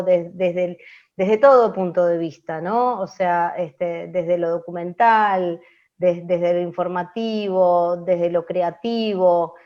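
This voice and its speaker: A woman with an Argentine accent leading a conversational class, reflective and adding little tag questions, in a dull recording. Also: medium pitch. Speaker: radio and podcast workshop instructor. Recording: dull lo-fi sound.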